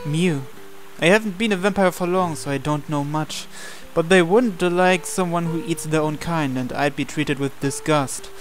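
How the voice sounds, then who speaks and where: Higher-voiced man reading a visual novel. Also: moderate pace. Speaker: gameplay reader voicing the male protagonist. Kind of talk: reading visual novel dialogue and narration aloud in character. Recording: music playing under the voice.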